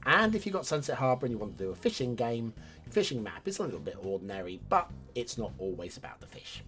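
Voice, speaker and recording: Male host, hoarse and a bit raspy, chatty and animated, mid-pitched, with soft music behind. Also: fast. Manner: chatty, animated, sudden jumps in loudness. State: hoarse from a chest infection. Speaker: game map reviewer, host. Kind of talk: casual commentary over gameplay.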